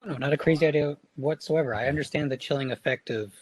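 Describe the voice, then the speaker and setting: A man's voice: on the high side, fast talker, breathy edge, poor audio. A man representing the police at a public meeting, answering directly.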